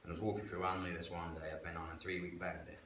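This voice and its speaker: A man's voice: deep voice, level tone, racing, a bit bright. A man tells his life story frankly and plainly, using local slang. He is seated in a church hall and recorded by a camera some distance away. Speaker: guest sharing life story. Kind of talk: candid personal testimony. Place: church hall, indoors, medium-sized. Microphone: far camera. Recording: echoey hall with background hiss.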